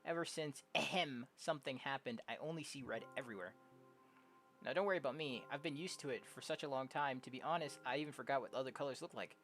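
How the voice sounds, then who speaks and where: A young adult game streamer casually reading visual novel dialogue aloud, jokey and prone to loud exclamations. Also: mid-range voice, fast. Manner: animated, jokey, exclaims loudly. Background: game music.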